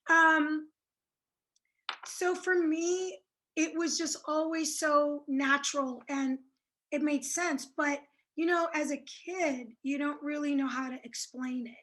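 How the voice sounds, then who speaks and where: A woman's voice: high-pitched, fairly bright tone. A woman whose first languages are French and Italian, chatting shyly as a psychic guest on an interview show, with many fillers and hesitations. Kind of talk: casual interview chat.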